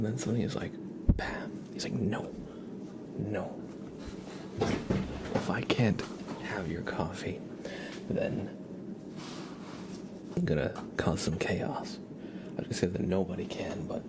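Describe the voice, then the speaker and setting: A man hosting a live ASMR stream, chatting casually with viewers in a soft, half-whispered, amused way, close to the microphone. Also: fairly low pitch, animated, unhurried, pauses often.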